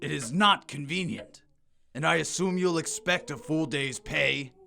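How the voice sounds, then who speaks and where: A miserly old businessman in an audio drama, full of bluster and scorn. The voice sits a little high and crackles with creak. Music plays clearly behind it. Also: unhurried, sharp tone, full character voice. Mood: irritable, dismissive. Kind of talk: radio play acting.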